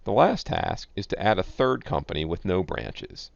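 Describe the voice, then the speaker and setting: A man giving scripted, instructional narration as a software tutorial presenter, reading in a measured, enunciated way. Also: sits low, wildly swooping pitch, vocal fry, no echo. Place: close, dry voice-over recording. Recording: dull, lo-fi close voice-over.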